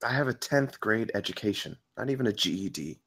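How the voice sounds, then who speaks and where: Injured trapped survivor, male, played by an actor at a table read: low gravelly voice, sardonic, stubbornly protective. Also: animated, a bit bright. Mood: wry, deflecting. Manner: wry, blunt, halting through pain. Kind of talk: acted table read of a film script.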